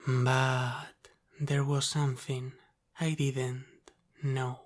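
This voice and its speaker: A man playing a teasing, protective Italian-American mafia boss in an intimate ASMR roleplay, speaking softly and deliberately and slipping into whispers. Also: mid-range voice, level tone. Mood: knowing, confident.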